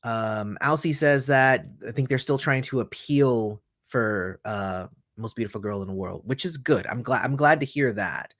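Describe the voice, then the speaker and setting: A man's voice: mid-range voice, normal speed, hardly any pauses, fairly bright tone, no room sound, clean studio sound. A man hosting a casual livestream, reading viewer comments aloud and rambling through answers with many fillers and restarts. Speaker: host answering livestream chat.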